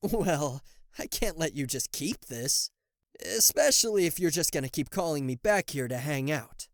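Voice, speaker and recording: A man's voice: on the high side, animated, normal speed, no room sound, clean studio sound. A man playing a cocky, easily flustered king of hell in an ASMR roleplay, sarcastic, indignant and theatrical, speaking to the listener. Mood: incredulous, mocking.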